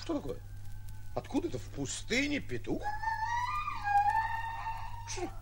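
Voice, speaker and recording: An actor playing a pompous, excitable, boastful would-be lion hunter in a bombastic, theatrical 1940s radio comedy style, often muttering to himself. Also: male voice, very high voice, a little slow, over loud music, heavily acted. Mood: puzzled, self-important. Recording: old 1940s radio recording.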